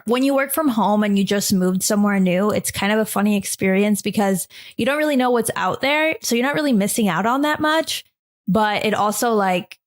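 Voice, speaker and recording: A woman hosting a podcast, speaking in an informal, chatty way as presenter and interviewer. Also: medium pitch. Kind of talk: scripted podcast intro and casual interview chat.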